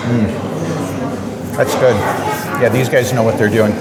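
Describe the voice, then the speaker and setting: An American man hosting a travel food show, chatting casually about pastries he tastes, with ums and pauses while eating in an indoor cafe with high ceilings. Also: steady tone. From United States. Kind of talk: casual food tasting vlog. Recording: loud crowd noise behind. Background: busy crowd chatter.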